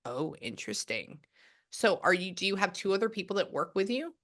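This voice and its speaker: A woman's voice: fairly low pitch, very animated, brisk, a bit bright. A woman working as a psychic medium, chatting casually and rambling with lots of restarts. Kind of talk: casual reading on a video call.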